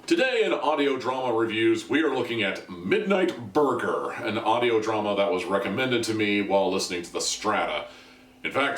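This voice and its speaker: A man's voice: low-pitched, a bit bright. A man giving a casual spoken video review in a wry, self-deprecating, conversational way. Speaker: reviewer, host of an audio drama review video series. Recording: roomy echo, distant from the mic.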